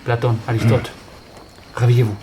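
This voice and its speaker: A male actor as a maquis leader in a radio play, brisk and commanding. His mid-pitched voice sits in clear noise. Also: monotone, lightly acted. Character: brisk male resistance squad leader giving orders. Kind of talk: audio drama acting.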